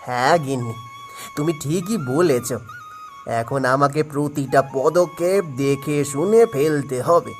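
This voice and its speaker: A man voicing a humble, earnest village biryani seller and devoted husband in a cartoon, with expressive delivery. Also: fairly high pitch, a little slow, faint music behind, close to an everyday voice. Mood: content, affectionate. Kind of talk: Bengali cartoon voice acting.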